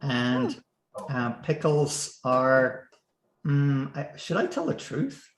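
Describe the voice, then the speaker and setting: A man's voice: mid-range voice, sing-song, slow pace, roomy sound, poor audio. Playful man hosting a video call. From Canada. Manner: jokey, leading introductions. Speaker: host of a math puzzle session. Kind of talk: casual video call chat.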